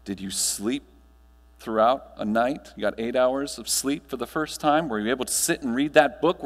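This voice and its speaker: An American man in his thirties giving a motivational conference keynote, projected and emphatic, with deliberate pauses and rhetorical questions. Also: on the high side, fairly bright tone. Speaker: keynote speaker and trainer. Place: large hotel ballroom stage. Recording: long hall reverb from ballroom PA.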